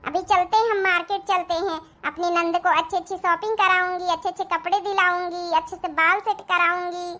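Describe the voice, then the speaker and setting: A performer plays a young city-bred daughter-in-law in a family cartoon. Her voice is extremely high and piercingly bright. She is kind and confident, reassuring everyone as she takes charge. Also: fairly even intonation, a little fast, hardly any pauses. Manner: reassuring, take-charge, speaks standard Hindi. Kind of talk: Hindi family cartoon dialogue.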